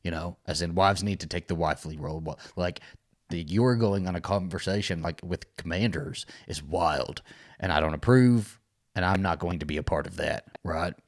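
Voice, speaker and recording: A man's voice: sits low, moderate pace. A man co-hosting a casual recap show, talking in an informal, slangy, joking way. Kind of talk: casual chat recapping a TV episode.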